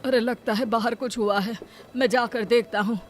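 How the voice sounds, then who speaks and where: Woman voicing earnest, high-voiced village husband. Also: steady tone, moderate pace, slight background noise, close to an everyday voice. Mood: concerned. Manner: earnest, protective. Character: caring, brave, working-class village husband. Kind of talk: animated moral story voice acting.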